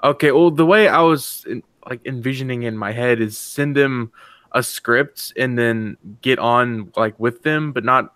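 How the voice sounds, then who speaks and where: A man's voice: medium pitch, a little slow, fairly bright tone, no echo. A man chatting casually in a brainstorming session, hesitant and restating his points as he goes. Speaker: co-producer who makes music.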